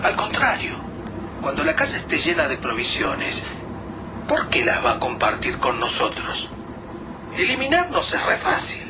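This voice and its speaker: A man with a Rioplatense accent plays an anxious survivor in a radio drama, talking in quick urgent bursts. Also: on the high side, huge pitch swings. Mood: suspicious. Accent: Rioplatense Spanish. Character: tense male survivor giving directions. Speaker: Lucas, a survivor. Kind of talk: amateur radio drama acting. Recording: distant mic with background noise.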